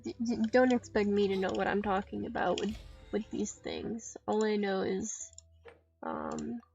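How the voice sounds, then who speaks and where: A woman giving casual solo commentary on a game stream in a playful, dryly self-deprecating, halting way. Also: mid-range voice, steady tone, gravelly, a little mellow, faint music behind. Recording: dull lo-fi mic with faint noise and clicks.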